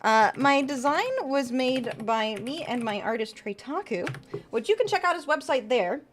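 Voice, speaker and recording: A woman's voice: sits high, lively intonation, moderate pace, a little hiss or hum. A woman VTuber streamer chatting casually with her viewers and giving tarot readings. She laughs often and trails off and restarts as she talks.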